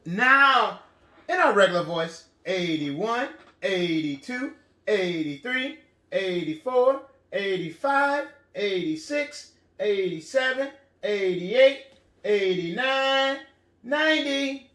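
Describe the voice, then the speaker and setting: A man teaching a children's counting lesson as host, animated and encouraging, in short repeated phrases. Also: high-pitched, slow pace. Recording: roomy, echoing indoor sound.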